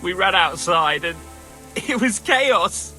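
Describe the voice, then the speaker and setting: A high, sharp male voice plays a bitter, shaken survivor in a video game. He speaks haltingly. Music sits behind him. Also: animated, full character voice. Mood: distraught. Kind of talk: video game dialogue acting.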